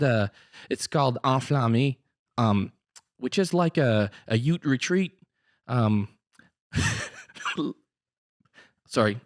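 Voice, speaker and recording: A man playing a fumbling French-Canadian pastor on a prank call, halting and apologetic, full of fillers and restarts. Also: mid-range voice, dry recording, studio quality, natural voice. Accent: Québécois French.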